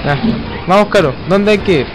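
A man's voice: high-pitched, animated, brisk, music in the background, low-quality recording. A man with a Chilean accent chatting casually while playing a multiplayer video game. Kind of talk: casual multiplayer gaming chat. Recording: loud game noise behind voice. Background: zombie shooter game sounds.

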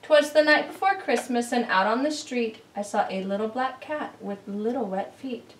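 A middle-aged woman giving an expressive, deliberate picture-book read-aloud as a children's presenter. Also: a little high, a little hiss or hum. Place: indoor living room beside a Christmas tree. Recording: roomy, distant camera microphone.

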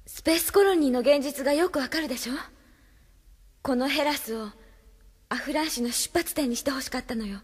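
An actress playing an earnest, gentle but defiant young woman held captive in an anime-style audio drama, speaking in a pleading manner. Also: high-pitched, fairly quick, lightly acted.

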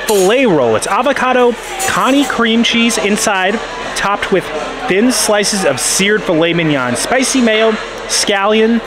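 High-voiced man reviewing food. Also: animated, unhurried. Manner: enthusiastic, describes dishes in detail. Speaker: food vlogger. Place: indoor restaurant table.

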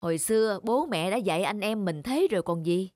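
A man voicing a modest, kindly, middle-aged older brother in a cartoon, calm and plain-spoken. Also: high-pitched, fairly quick, no room sound, faint music behind. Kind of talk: animated cartoon dialogue.

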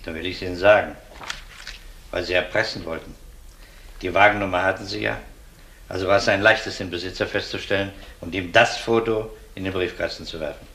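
A shrewd police detective in a crime radio drama. His voice is very low yet forceful, and he questions in a measured, courteous, probing way. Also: steady tone, heavily acted. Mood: accusing. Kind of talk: German crime radio play acting.

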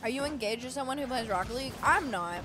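A woman's voice: a little high, fast. Chatty young woman streaming over game music. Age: 20s. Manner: chatty, reads and answers chat, excitable bursts. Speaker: esports co-streamer. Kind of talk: casual live stream chat over a match. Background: game music and car sounds.

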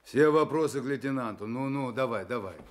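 A man's voice: medium pitch, fairly quick, a little hiss or hum, natural voice. An actor playing an impatient, commanding male police sergeant in a radio drama. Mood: curt, insistent. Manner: curt, commanding. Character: curt, pushy police sergeant, adult man.

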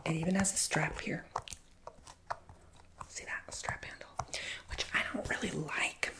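A woman in a whispered ASMR roleplay as a shoe shop clerk, breathy and chatty, with playful, slangy asides to her customer. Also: sits low, a little slow.

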